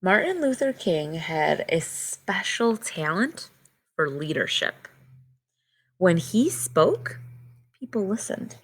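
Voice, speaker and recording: A woman reading a picture book aloud to children like a teacher, pausing to explain things in simple words. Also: lowish voice, sing-song. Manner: simple, child-directed explaining with short phrases. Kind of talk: read-aloud storytelling with simple explanatory asides.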